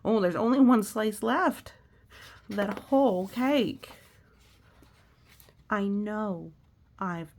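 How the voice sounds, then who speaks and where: A naughty young girl of a rhyming storybook, as a woman reads her aloud, guilty yet keen to fix things. She draws out each rhyme slowly and deliberately, with a gravelly edge to the voice. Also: mid-range voice, darkish tone, exaggerated voice. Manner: drawn-out, deliberate rhyming delivery.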